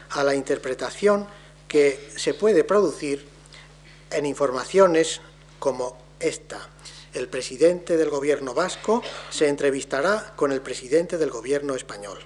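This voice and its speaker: Husky man giving a formal lecture. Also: on the high side. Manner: measured, deliberate delivery with trailing soft endings. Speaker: lecturer on linguistics.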